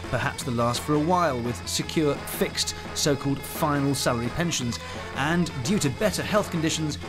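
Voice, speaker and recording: A man reads a travel report as voice-over in a measured, even tone. Music plays clearly behind him. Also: a little high, rough recording. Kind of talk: scripted narration.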